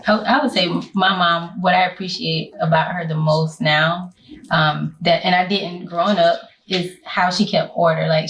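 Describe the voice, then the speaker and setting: A woman's voice: lowish voice, recorded from a distance, poor audio. Woman in her forties, a bit off-mic. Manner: animated, with stumbling restarts. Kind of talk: casual group discussion.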